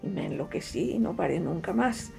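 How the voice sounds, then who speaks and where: A middle-aged Paraguayan woman writer, a native Guaraní speaker, answering interview questions in an unhurried, reflective way with frequent fillers and repetitions. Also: fairly low pitch, lively intonation, vocal fry, mellow, soft background music. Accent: Paraguayan Spanish.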